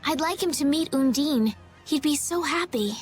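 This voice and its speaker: A woman voicing a gentle, earnest young princess in a video game, polite and caring. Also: sits high, animated, moderate pace, soft background music. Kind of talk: English video game voice acting.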